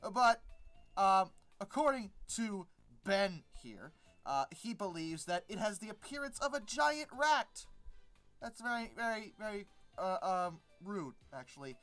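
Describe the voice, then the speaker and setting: Animated, exasperated woman commentator. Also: mid-range voice, unhurried, soft background music. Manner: exasperated, emphatic, sarcastic; rambling, repeats words for emphasis. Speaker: video host and commentator. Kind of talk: casual unscripted commentary on an article.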